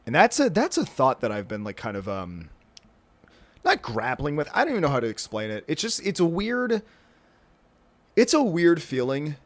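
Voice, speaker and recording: A man's voice: fairly high pitch, wildly swooping pitch, normal speed. A man in his twenties hosting a solo podcast, rambling casually with lots of fillers, restarts and the odd swear word. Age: 20s. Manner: rambling, self-interrupting, many fillers and restarts; casual, swears, says dude and bro. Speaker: podcast host. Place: indoors, with a thunderstorm rolling in outside.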